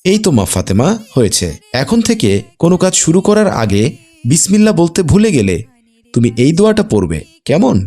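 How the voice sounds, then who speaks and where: Man as a cartoon dad, gentle, patient, didactic, widely swinging intonation. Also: a little high, very animated, brisk. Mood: patient, instructive. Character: gentle, instructive father of a small girl. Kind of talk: scripted children's cartoon dubbing.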